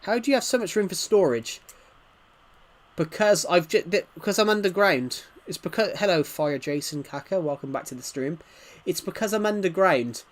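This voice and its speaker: Male livestream host, slightly high-pitched, casual gamer chat, rambling and stuttering as he reads out comments. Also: lively intonation, moderate pace.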